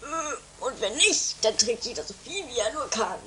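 A woman's voice: fairly high pitch, expressive, moderate pace, sharp tone, slight background noise. A woman playing a smug, whiny, easily disgusted companion in an amateur comedy radio play.

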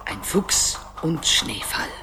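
Slow, haughty, cruel witch queen woman acting. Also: music in the background, natural voice. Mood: cold, menacing. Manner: imperious, savouring menace. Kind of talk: German radio play acting.

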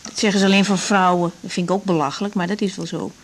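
A woman's voice: on the low side, fairly even intonation, quick pace, close to an everyday voice. A woman playing a caring, frank young doctor in a naturalistic radio drama. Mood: caring, brisk.

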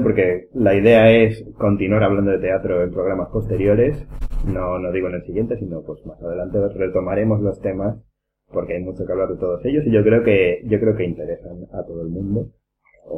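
Male podcast host, Castilian accent, low register, rambling and informal, on a muffled lo-fi recording. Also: brisk. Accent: Castilian Spanish. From Spain. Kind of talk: casual round-table chat. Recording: dull lo-fi sound, background noise.

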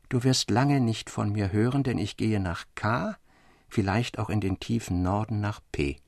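An actor playing a storyteller among literary friends, speaking in a measured, formal and expressive way. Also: male voice, lowish voice, breathy edge, no echo, clean studio sound. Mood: intense, wistful. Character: male storyteller Theodor, literary friend reading his tales, defending fragments. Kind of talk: literary audio drama narration.

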